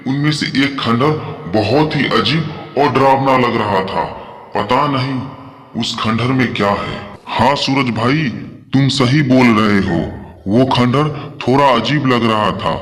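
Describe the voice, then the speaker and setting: A man playing earnest characters in a cartoon story, speaking in a deliberate way. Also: medium pitch, fairly bright tone. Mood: uneasy. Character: earnest young male friends and a solemn forest holy man. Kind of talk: Hindi cartoon story dialogue.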